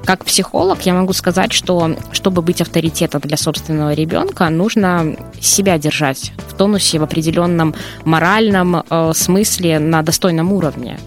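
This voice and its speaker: A woman psychologist talks in a fairly low voice, earnest and advising, with frequent pauses. Faint music plays underneath the talk. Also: fairly even intonation. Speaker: psychologist guest. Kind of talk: casual talk show conversation.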